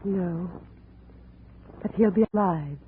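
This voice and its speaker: A woman playing a haunted, lonely wife in a 1950s radio drama, formal and melodramatic in her speech. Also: lowish voice. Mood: wistful, stubborn. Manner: slow, formal, melodramatic, without contractions. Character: brooding, lonely abandoned wife, haunted and intense. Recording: tinny, noisy old radio recording.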